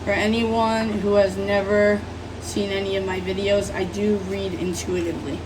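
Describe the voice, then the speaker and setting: A woman with a rough, hoarse voice from being sick, giving a casual, rambling tarot reading as host of her channel. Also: medium pitch, little pitch movement, normal speed, recorded from a distance, background noise. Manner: rambling, apologetic, many fillers. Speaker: tarot reader.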